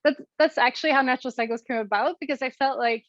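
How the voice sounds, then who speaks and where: Swedish lady guest on a call, kinda high voice, dry muffled sound, lots of ums. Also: fast, no echo. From Sweden. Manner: thoughtful, lots of ums and likes. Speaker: guest, app co-founder. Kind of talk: interview over a call.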